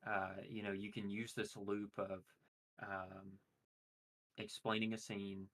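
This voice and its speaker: A man chatting casually, explaining his points at length in a monotone voice. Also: on the low side, slow pace. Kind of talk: casual chat.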